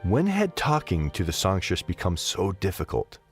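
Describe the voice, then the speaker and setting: A man's voice: low-pitched, huge pitch swings, normal speed, faint music behind. A man narrating a scripted story as the narrator of an audio drama.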